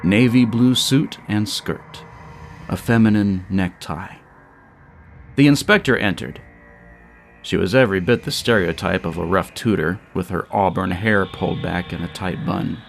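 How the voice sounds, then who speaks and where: A man's voice: low voice, unhurried, vocal fry, soft background music. A man narrating a scripted short story in a dramatic storytelling style.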